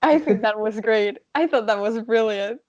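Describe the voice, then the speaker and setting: A woman with a Spanish accent co-hosting a casual podcast, talking quickly and animatedly. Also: on the high side, a little mellow, highs cut off. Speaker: podcast co-host.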